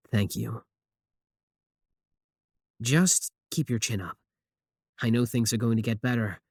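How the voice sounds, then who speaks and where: A man's voice: medium pitch, slow pace, long pauses, darkish tone, no room sound. Man acting a possessive young admirer, close and dry. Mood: tender, concerned. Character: possessive, obsessive young male student, sweet yet menacing admirer. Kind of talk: scripted ASMR roleplay acting.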